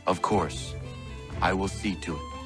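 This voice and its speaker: A man playing a calm, earnest young man in a video game, speaking formally and in a measured way. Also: low-pitched, music in the background. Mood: calm, helpful. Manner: formal, measured phrasing without contractions. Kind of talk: video game cutscene acting.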